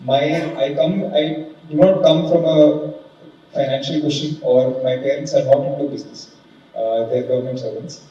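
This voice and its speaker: A man's voice: medium pitch, steady tone, normal speed, warm tone. A man in his twenties, a guest speaker, giving a formal, earnest motivational talk. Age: 20s. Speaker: guest speaker, civil judge. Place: large conference hall. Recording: echoey hall, distant and dull sound, faint noise.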